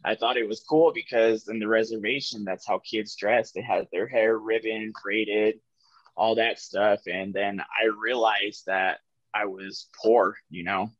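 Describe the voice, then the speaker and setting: A young man in his twenties speaks in a lowish voice, softly and reflectively, with lots of "like" and "um" as he tells his own story. He is on a video call from an office room. The webcam audio is dull and lo-fi, with a dry sound and almost no room echo. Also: steady tone, unhurried. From New Mexico, USA. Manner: soft-spoken, reflective, frequent "like" and "um" fillers. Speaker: guest sharing his recovery story. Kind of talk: candid personal storytelling on a podcast. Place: office room with ceiling lights.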